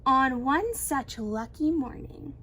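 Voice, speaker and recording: High-voiced woman reading a storybook aloud. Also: animated, takes it slowly, a bit bright, slight background noise. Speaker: teacher reading a picture book aloud. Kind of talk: expressive children's storybook read-aloud.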